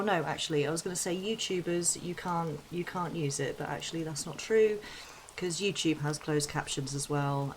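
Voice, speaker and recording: Low-voiced woman streamer, unhurried, chatty with lots of fillers. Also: a little hiss or hum, rough recording. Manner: chatty, many fillers and restarts, laughs often. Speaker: tarot streamer. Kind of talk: casual live-stream chat.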